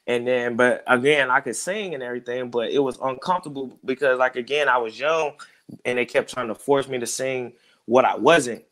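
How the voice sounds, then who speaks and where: A relaxed man in his thirties, speaking as a music producer being interviewed. He talks in a loose, rambling way, often circling back to check in with the listener. His voice sits at an ordinary pitch. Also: moderate pace. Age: 30s. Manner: laid-back, rambling, keeps saying "you know what I'm saying". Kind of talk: casual interview talk.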